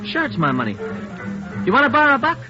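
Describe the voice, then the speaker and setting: High-voiced cocky American teenage punk. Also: male voice, huge pitch swings, fast talker, over music, exaggerated voice. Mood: insolent, mocking. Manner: smart-mouthed, slangy, insolent. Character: cocky, mocking sixteen-year-old male street punk. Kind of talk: 1950s radio drama acting. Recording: muffled old radio recording.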